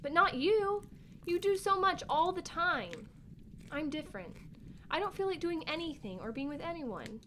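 Woman playing young girl, very bright forward tone, high pitch, sensitive, excitable, childlike, family audio drama. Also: moderate pace, slight background noise, full character voice. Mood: sad, confiding. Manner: gushing, childlike, openly emotional.

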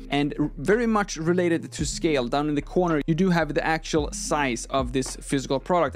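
Higher-voiced young man teaching step by step. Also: darkish tone. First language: not English. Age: young adult. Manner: explanatory, step-by-step, informal. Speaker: tutorial host. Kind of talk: conversational software tutorial. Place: small room at a desk, indoors. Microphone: close microphone on an arm.

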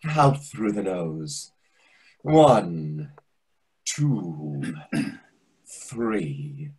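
A man playing a husky, pompous waiter. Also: fairly low pitch, darkish tone, heavily acted. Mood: preachy. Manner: theatrical, condescending, lecturing. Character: pompous, needling, over-familiar Greek restaurant waiter. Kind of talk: readers theatre comedy acting. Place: indoors on a video call. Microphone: video call microphone.

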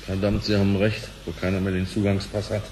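A man's voice: deep voice, completely flat delivery, brisk, darkish tone, a little hiss or hum, lightly acted. An actor playing an earnest, polite, cautious businessman in a radio play, speaking in a formal and hesitant manner. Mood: worried. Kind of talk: German radio play acting.

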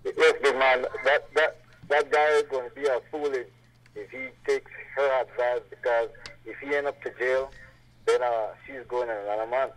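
A man's voice: medium pitch, steady tone, normal speed, a bit bright. A man from Belize with a Belizean accent, chatting casually as a caller to a radio show. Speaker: phone-in caller. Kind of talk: casual chat. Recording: faintly noisy radio call-in.

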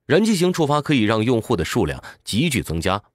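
A man's voice: mid-range voice, sing-song, brisk, no room sound, clean studio sound. A man narrates a scripted explainer in a didactic way, posing questions and answering them. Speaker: audiobook summary narrator.

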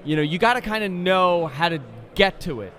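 A man's voice: high-pitched, animated, brisk, a bit bright. A man in his thirties hosting a casual, conversational interview, speaking close to a studio microphone. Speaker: interviewer, podcast host. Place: convention expo booth, indoors. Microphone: close studio microphone on desk.

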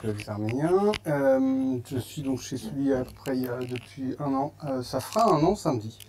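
A man with a French accent presenting a software module at a meetup, casual and full of fillers and restarts. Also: medium pitch. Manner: hesitant, many fillers and restarts. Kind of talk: casual conference talk. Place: meetup room with audience.

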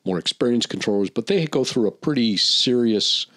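A middle-aged man hosting a casual podcast discussion, thinking aloud in a halting way. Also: mid-range voice, animated, a bit bright, dry recording, clean studio sound. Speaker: podcast host and airline pilot. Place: small office studio, indoors. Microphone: close podcast mic on boom arm.

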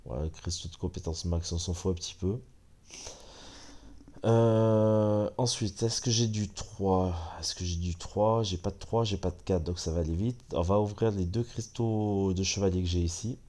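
Low, dark-voiced Frenchman with a cold. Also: moderate pace. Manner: relaxed, chatty, many fillers. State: stuffy head cold. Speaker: gaming channel host. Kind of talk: casual gameplay commentary.

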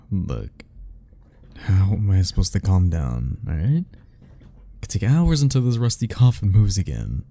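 A man's voice: sits low, gravelly, warm tone, heavily acted. A man playing an anxious, sarcastic, self-deprecating young man in a romantic ASMR roleplay, speaking in a wry, rambling way to the listener. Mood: panicked, exasperated. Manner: sighing, wry, rambling, chuckling.

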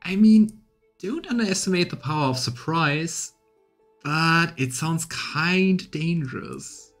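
A man's voice: a little high, animated, takes it slowly, fairly bright tone, faint music behind. A man in his thirties giving casual commentary as he streams a game playthrough. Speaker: let's play streamer.

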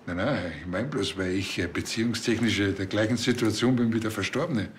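A man's voice: fairly low pitch, fairly quick, close to an everyday voice. An actor playing a chatty, awkward rural policeman with a Bavarian accent and a rambling, earnest manner. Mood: defensive. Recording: loud vehicle and ambience noise.

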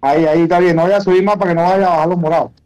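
A man's voice: high voice, fairly even intonation, rapid-fire, warm tone, smooth, poor audio. A Venezuelan man chatting casually and swearing in slang while he streams an online game. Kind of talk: casual voice chat while gaming.

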